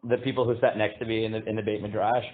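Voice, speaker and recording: Man teaching over a tinny line. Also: flat delivery. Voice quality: booming voice. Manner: halting, many fillers and restarts; reads Hebrew text aloud, then translates. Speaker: rabbi teaching a class. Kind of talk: casual Torah class.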